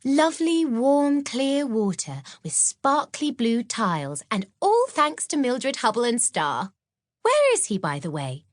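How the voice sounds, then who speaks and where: A woman acts out a cheerful young witch at school, a loyal best friend in a storybook. The voice leaps up high and bounces around with bubbly, teasing excitement, in a close, dry recording. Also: measured pace, dry recording. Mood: delighted. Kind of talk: children's audiobook character acting.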